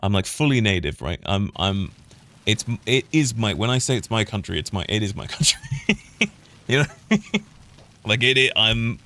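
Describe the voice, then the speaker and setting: A man's voice: fairly low pitch, lively intonation, moderate pace, long pauses, pushed and bright. A British man streaming a game, rambling opinionated commentary that keeps trailing off and restarting. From United Kingdom. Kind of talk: casual gameplay commentary.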